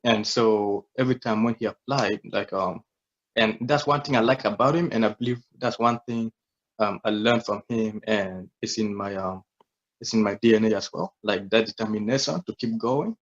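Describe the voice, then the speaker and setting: Low-voiced young man from South Sudan. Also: moderate pace, dull audio. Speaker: guest. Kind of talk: casual interview.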